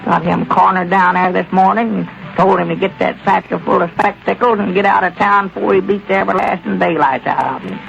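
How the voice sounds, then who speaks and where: An actor playing a country storekeeper with a folksy drawl in a 1930s radio comedy. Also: male voice, high voice, fairly even intonation, brisk, creaky, few pauses, a bit bright, exaggerated voice. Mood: easygoing. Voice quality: folksy rural drawl with plain country grammar. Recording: lo-fi old radio broadcast.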